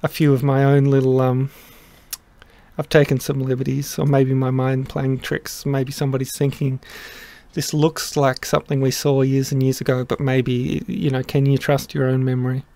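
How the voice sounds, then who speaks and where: A middle-aged man explaining his song translation in a casual, halting way, with many pauses and restarts. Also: medium pitch, level tone, creaky, dark tone, no echo. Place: small room indoors.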